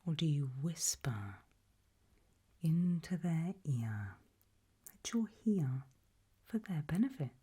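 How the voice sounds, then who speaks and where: A British woman whispering an ASMR roleplay in a breathy voice, putting slow, deliberate questions with long pauses. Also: low-pitched, big pitch swings, mellow.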